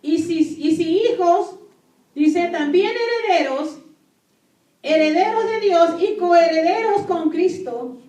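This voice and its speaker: A woman pastor delivers an emphatic sermon in a very high voice. She repeats key phrases and questions her listeners as a native Spanish speaker. The sound is echoey, picked up some distance from the microphone. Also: takes it slowly, dark tone. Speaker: pastor preaching to a congregation. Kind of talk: sermon with scripture reading.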